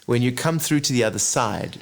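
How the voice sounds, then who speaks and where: A man, a singer talking as a guest in a relaxed, reflective interview. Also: mid-range voice, a little fast. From Zimbabwe. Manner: reflective, earnest, many "you know" asides. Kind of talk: casual interview chat.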